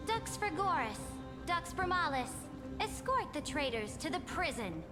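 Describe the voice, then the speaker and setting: A coldly commanding empress in a dramatic game scene. Her high voice takes its time with regal deliberation, composed and imperious, over loud music. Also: big pitch swings, measured pace. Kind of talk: dramatic video game voice acting.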